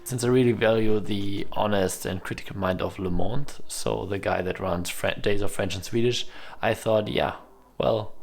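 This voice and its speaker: A man's voice: lowish voice, vocal fry. A man reviewing a language app in a casual, methodical way, with some restarts as he demonstrates it. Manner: methodical explainer, restarts when showing the app.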